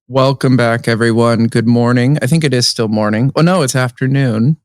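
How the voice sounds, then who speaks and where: A man's voice: mid-range voice, fairly even intonation, moderate pace, dry recording. Chatty man podcast host, dry sound. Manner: chatty, with restarts and hedges. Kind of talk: casual interview chat. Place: conference venue.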